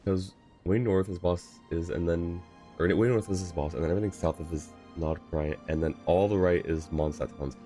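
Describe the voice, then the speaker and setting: Very low-voiced casual male game streamer. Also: steady tone, moderate pace, dark tone, soft background music. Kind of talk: casual livestream chat.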